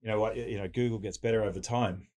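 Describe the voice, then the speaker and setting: A man with a deep voice, a guest in a casual interview, explaining technology at length with everyday analogies. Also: fairly even intonation, quick pace, mellow. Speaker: guest, tech business owner.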